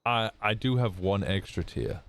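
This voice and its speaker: A man talking in character in a roleplay, brash and blustering, swearing as he pitches an idea. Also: normal speed.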